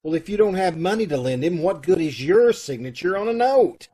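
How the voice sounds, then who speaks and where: A man acting a flustered, exasperated loan officer in an old-time radio comedy, with a husky voice. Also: sits high, lively intonation, brisk, mellow. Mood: bewildered. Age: middle-aged. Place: indoors, on a video call. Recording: home video-call recording.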